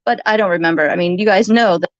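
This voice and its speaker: A woman's voice: lowish voice, quick pace, no room sound. A woman in a heated, informal argument, talking in an accusatory, rambling way and sometimes swearing. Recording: muffled lo-fi audio.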